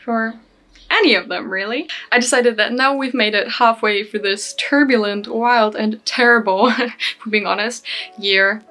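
A young woman in her twenties from Germany, chatting casually to camera about her goals, a little self-deprecating and quick to laugh. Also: a little high, sharp tone. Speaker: language-learning vlogger. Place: small bedroom, indoors. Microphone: camera at desk distance.

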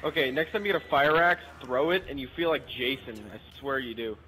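A man's voice: mid-range voice, brisk, background noise. A young man chatting casually as a co-op gaming partner, explaining the game in an offhand way. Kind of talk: casual gameplay chat. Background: game music.

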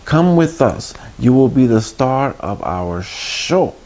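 A man's voice: big pitch swings, slow. A man voicing a bossy, scheming male puppet master, a menacing storybook villain. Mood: sly, coaxing. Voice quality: terrible booming shout at times.

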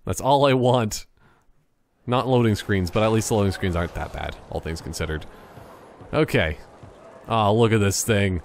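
A man's voice: lowish voice, normal speed, long pauses, a little hiss or hum. A man giving casual, jokey gameplay commentary, thinking aloud and wandering off on tangents. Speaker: gaming YouTuber.

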